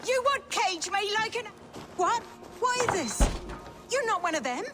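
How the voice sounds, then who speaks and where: A woman's voice: extremely high pitch, animated, unhurried, loud music behind the voice. An actress playing a tough, plain-spoken medieval peasant woman, earthy and heartfelt, in a video game cutscene. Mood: alarmed. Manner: earthy, heartfelt, archaic phrasing.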